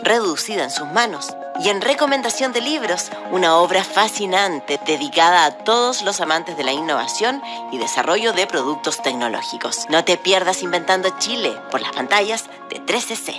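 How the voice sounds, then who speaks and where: A woman reading a scripted science program promo in a measured voice-over. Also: lowish voice, animated. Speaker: TV program presenter. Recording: voice-over with music.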